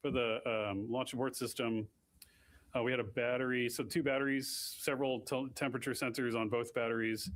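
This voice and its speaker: A man's voice: mid-range voice, flat delivery, brisk, a bit bright. A man explaining technical launch issues at a press conference, in plain, technical answers with frequent restarts.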